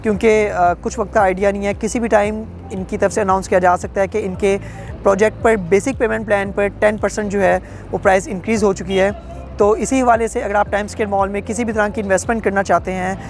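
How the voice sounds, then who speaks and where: A man's voice: high-pitched, steady tone, fast, soft background music. Persuasive young Pakistani salesman outdoors. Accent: Pakistani Urdu. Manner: confident, persuasive salesman tone with frequent filler phrases. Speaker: real estate agent. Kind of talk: promotional to-camera sales pitch. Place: outdoors at a construction site.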